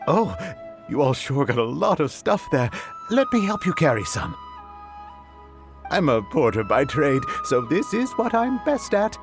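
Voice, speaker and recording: A man's voice: a little high, sing-song, measured pace. A man voicing a proud, touchy adult male porter in a video game, over game music. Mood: helpful. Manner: defensive, friendly.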